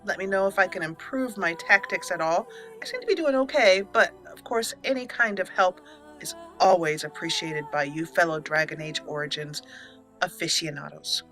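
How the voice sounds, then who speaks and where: Bright-voiced woman narrating a roleplay playthrough. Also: lowish voice, moderate pace, soft background music. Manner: expressive, talks to the game characters. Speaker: gaming channel host. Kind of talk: roleplay game commentary with storytelling narration.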